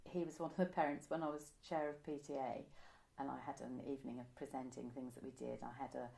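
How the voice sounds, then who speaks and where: A woman's voice: rumbling low, steady tone, brisk, gravelly, warm tone, poor audio. An older woman, reminiscing casually in an interview in a chatty, self-correcting way. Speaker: interviewee, amateur pantomime writer. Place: indoor room by a window, seated.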